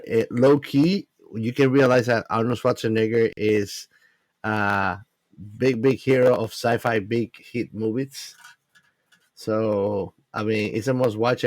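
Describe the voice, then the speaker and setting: A man giving his casual opinion of a film on a discussion show, hesitant and pausing between phrases. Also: lowish voice, no room sound. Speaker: film podcast panelist. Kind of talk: casual movie review chat.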